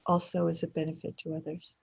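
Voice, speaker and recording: A woman meditation teacher leading a guided meditation in gentle short phrases with long pauses between them. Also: low voice, monotone, vocal fry, slightly rough. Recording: dull, muffled lo-fi audio.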